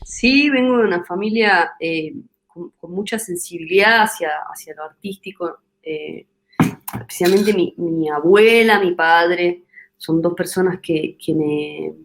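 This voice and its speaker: A young adult woman with an Argentine accent, a singer-songwriter from Córdoba answering interview questions in a thoughtful way, often searching for words and restarting phrases. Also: fairly low pitch. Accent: Argentine Spanish. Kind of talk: reflective interview talk.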